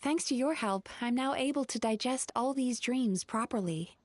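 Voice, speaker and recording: A woman's voice: a little high, moderate pace, no room sound, studio quality, close to an everyday voice. A woman voicing a polite, earnest young female dream-eating spirit in a video game. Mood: explaining.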